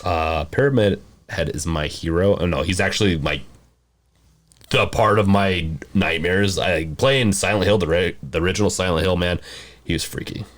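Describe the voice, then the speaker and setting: A man's voice: low-pitched, moderate pace. A man hosting a podcast in casual chat, rambling and a little hesitant as he goes. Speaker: podcast host.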